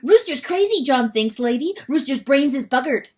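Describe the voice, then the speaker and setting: A woman's voice: high voice, expressive, moderate pace, a little mellow, voice pushed up. A woman voicing an agitated, protective male weasel in a storybook, with broken folksy grammar and a habit of calling himself by name. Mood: confiding, anxious.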